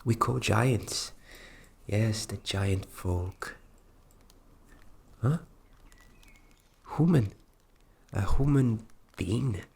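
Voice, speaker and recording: A performer voicing a flustered, kindly fairy magic specialist in a fantasy ASMR roleplay, speaking softly and hesitantly, often dropping into a whisper. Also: mid-range voice, animated, vocal fry, husky, heavily acted. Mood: curious, puzzled. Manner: hesitant, halting, repeats words, often whispering.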